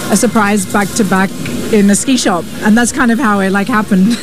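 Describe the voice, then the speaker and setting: A woman DJ joining a studio interview, relaxed and agreeable, answering with easy "yeah"s. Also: mid-range voice, moderate pace. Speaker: DJ guest. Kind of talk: casual interview chat. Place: festival broadcast studio. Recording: music playing behind.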